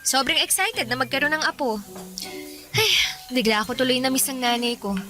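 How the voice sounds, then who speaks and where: A woman's voice: high-pitched, animated, normal speed, over music. An actress playing a candid young working woman with a Filipino accent, in conversational radio drama style. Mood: chatty, candid. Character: chatty young working woman, warm, candid, stands her ground.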